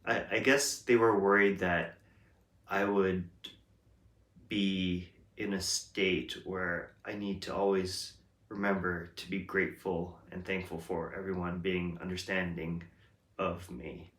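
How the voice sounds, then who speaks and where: A man's voice: low voice, little pitch movement, slow pace, fairly bright tone. A man whose first language is English, hosting a reflective casual monologue, talking hesitantly with many ums and restarts. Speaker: host. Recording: roomy echo.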